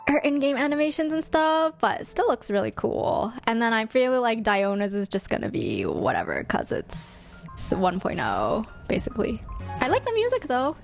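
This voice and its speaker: Woman, high voice, animated intonation, playful casual commentary, exclamatory, faint background music. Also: normal speed, vocal fry. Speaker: host ranking game trailers.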